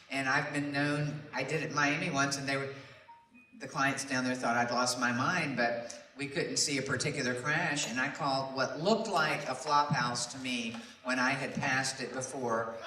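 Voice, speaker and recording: A man's voice: medium pitch, pushed and bright. A middle-aged man speaking on a panel as a traffic reporting veteran, telling anecdotes in a chatty, folksy way. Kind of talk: animated anecdotal panel talk. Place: large room at a public meeting. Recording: roomy echo, distant mic, background noise.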